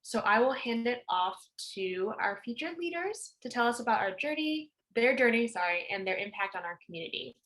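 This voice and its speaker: A woman's voice: a little high, expressive, normal speed, hardly any pauses, a bit bright, low-quality recording. A young woman, the lead organizer, hosting an online event in a chatty, slightly scattered way and apologizing for tech hiccups. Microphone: video call.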